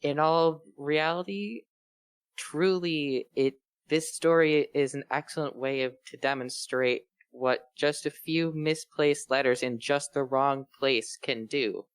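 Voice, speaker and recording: A man chatting casually in a fan fiction reading group, making deadpan jokes. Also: fairly high pitch, slow pace, clear, resonant tone, dry recording. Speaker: fan fiction podcast reader. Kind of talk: casual joking discussion.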